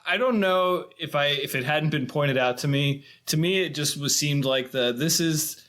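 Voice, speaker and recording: A man's voice: on the high side, normal speed, a bit bright. A man hosting a casual film discussion, steering the recap in an informal way. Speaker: podcast host.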